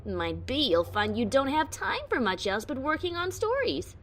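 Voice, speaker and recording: Adventurer pony narrator, played by a woman: female, lively varied pitch, wry bravado with nerves underneath, first-person storybook drama. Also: normal speed, slight background noise. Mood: wry, confident. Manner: expressive, breezy bravado over nerves.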